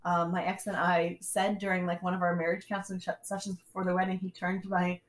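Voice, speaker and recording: A woman's voice: on the low side, flat delivery, quick pace. A woman co-hosting a podcast in casual chat, self-mocking and emotive, laughing often. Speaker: podcast co-host.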